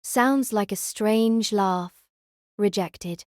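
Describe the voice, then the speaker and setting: A woman playing a cool, deadpan young woman senpai who talks in a flat, demanding way, in an audio drama. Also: medium pitch, extremely slow, no room sound, clean studio sound. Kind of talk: amateur audio drama acting.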